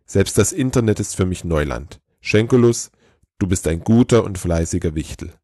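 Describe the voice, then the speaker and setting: Man as a weary, low-voiced old Santa Claus. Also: expressive, normal speed, darkish tone, no echo, studio quality. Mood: weary, kindly.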